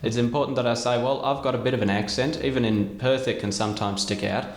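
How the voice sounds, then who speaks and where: A man's voice: mid-range voice, fairly even intonation, quick pace. A young Australian man hosting an interview, chatting casually. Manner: casual, conversational, frequent "like" fillers. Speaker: host. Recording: echoey room with faint music.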